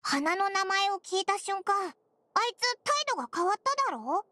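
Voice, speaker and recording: A woman's voice: very high-pitched, expressive, moderate pace, pushed and bright, a little hiss or hum, full character voice. A woman voicing a small, cheerful, boastful fairy companion with brash, boyish phrasing in an anime game dub. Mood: curious.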